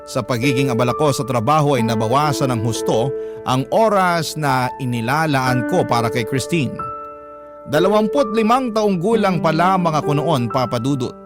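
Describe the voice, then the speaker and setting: A Filipino man narrates a first-person letter for a radio drama in a mid-pitched voice. A loud music bed plays beneath his scripted reading. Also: lively intonation, normal speed.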